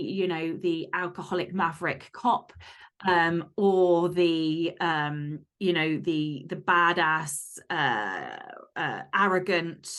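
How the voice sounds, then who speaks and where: Blunt, low-pitched woman giving advice. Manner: blunt, emphatic, sweary. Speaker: author and podcaster guest. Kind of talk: interview advice, conversational.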